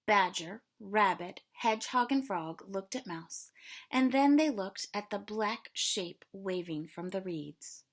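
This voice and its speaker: A woman's voice: mid-range voice, lively intonation, slow pace. Woman, elementary teacher, patient explainer, read-aloud lesson for children, small room. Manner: patient, explaining step by step, checking in with "okay?". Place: small room at home, darkened.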